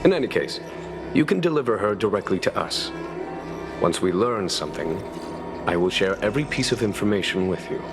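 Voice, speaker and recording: A low-voiced, courteous, commanding general. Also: male voice, lively intonation, over music. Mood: courteous, composed. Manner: measured, formal, diplomatic. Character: calm, courteous, shrewd male general commanding a starship's knights. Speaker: military leader. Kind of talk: scripted video game dialogue.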